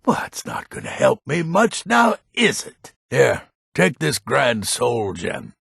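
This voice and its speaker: Game role: haughty old mage-lord, grumpy and curt, heavily gravelled and husky, with wide swings in pitch. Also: male voice, huge pitch swings, takes it slowly, fairly bright tone, no echo, studio quality, heavily acted. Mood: impatient, businesslike. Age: older. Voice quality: gravelly, raspy. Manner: gruff, curt, impatient. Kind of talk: video game character dialogue.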